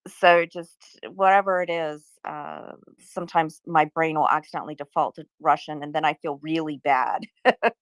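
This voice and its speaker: A woman's voice: low voice, fairly even intonation, moderate pace, dry recording. A woman chatting casually on a live audio call, laughing easily and trailing off with fillers. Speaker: guest speaker.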